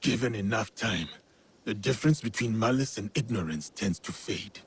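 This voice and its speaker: An actor playing a stern, measured warrior of feudal Japan in a video game, formal and terse. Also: male voice, fairly low pitch, expressive, breathy edge. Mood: grave, firm. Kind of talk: video game cutscene acting.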